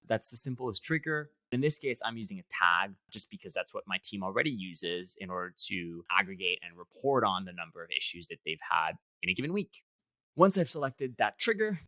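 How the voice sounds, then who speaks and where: A man guides the listener through a tutorial in a conversational, explanatory way. His mid-pitched voice moves up and down a lot and has a bright, forceful tone. The sound is close and dry, with very little room. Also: dry recording. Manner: explanatory, step by step. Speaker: software product demonstrator.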